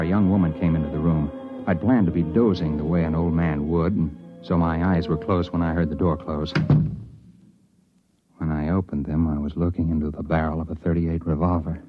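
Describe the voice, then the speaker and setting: A man plays a thoughtful amateur sleuth of about forty in a 1950s radio mystery. His voice is very low, measured and conversational. The recording is dull and dated. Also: close to an everyday voice. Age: 40s. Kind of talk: 1950s radio drama, first-person noir narration. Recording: muffled vintage radio recording.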